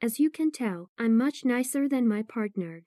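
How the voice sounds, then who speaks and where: A man voicing a kind female police officer who speaks softly and reassuringly. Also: extremely high pitch, lively intonation, moderate pace, no echo, studio quality, pitched above the performer's own voice. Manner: soft, reassuring.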